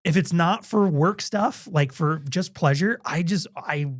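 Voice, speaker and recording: Chatty man with a higher voice. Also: unhurried. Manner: rambling, many fillers and restarts. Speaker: podcast co-host. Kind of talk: casual chat.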